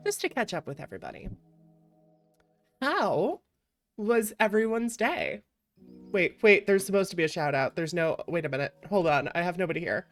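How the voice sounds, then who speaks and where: A woman hosting a livestream, chatting casually and playfully with viewers in an expressive way over background music. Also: fairly low pitch. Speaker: streamer, variety VTuber and host.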